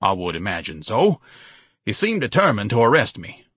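A man's voice: on the low side, huge pitch swings, moderate pace, a bit bright, husky, dry recording, studio quality, exaggerated voice. A man voicing a pompous, hypochondriac, very large grown son, grandiose and complaining, in an audiobook. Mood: haughty. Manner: grandiose, over-formal, complaining. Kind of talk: audiobook character voice.

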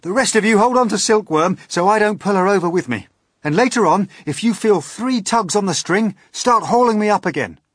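A man voicing a brave, excitable young boy in a children's storybook, calling out urgent commands. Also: high-pitched, a little fast, husky, no echo, studio quality, pitched above the performer's own voice. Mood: determined, excited.

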